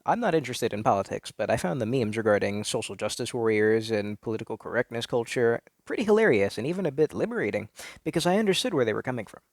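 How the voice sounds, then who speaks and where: A man's voice: mid-range voice, big pitch swings, quick pace, no room sound, studio quality. A man playing an insecure, self-deceiving traditional guy who confesses an obsession in an earnest, theatrical dramatic reading. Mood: earnest confession. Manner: theatrical, earnest, with dragged words and indignant outbursts. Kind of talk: melodramatic first-person dramatic reading.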